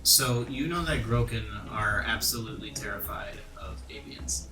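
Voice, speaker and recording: A man's voice: on the low side, normal speed. A man narrating a tabletop role-playing game as game master, in a descriptive storytelling way. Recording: roomy echo, distant mic, music underneath. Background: music bed.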